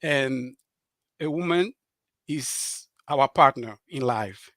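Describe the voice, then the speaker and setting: Halting middle-aged man, Cameroonian French accent. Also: medium pitch, animated, a bit bright, dry recording, studio quality. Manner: earnest, halting with many fillers and restarts. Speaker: author and guest. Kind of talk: live show interview.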